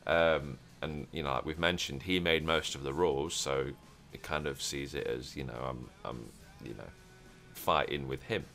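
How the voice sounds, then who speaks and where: A man's voice: very deep voice, normal speed, creaky, a bit bright, faint music behind. A man hosting a roleplay podcast, chatting casually and rambling with many fillers and restarts. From Europe. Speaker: podcast host.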